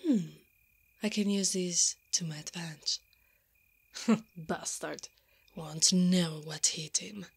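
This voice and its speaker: A woman voicing a brave, brash female knight in an ASMR roleplay, breathy and often whispering, muttering sardonically to herself. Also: fairly low pitch, slow pace, pauses often, exaggerated voice. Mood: sly, focused.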